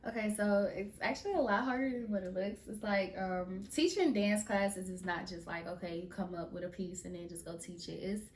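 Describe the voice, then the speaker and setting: A woman's voice: medium pitch, a little fast, few pauses. Young woman guest from Mississippi, casual and slangy, roomy distant studio sound. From Mississippi, USA. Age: young adult. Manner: relaxed, slangy, emphatic repetitions. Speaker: guest choreographer. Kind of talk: casual interview. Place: podcast studio.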